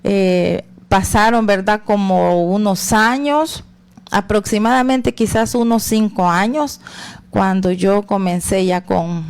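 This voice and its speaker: Una mujer con acento salvadoreño da su testimonio personal de fe con calma, pausando con muletillas como "verdad" y "pues". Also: female voice, medium pitch, clean tone. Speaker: guest giving testimony.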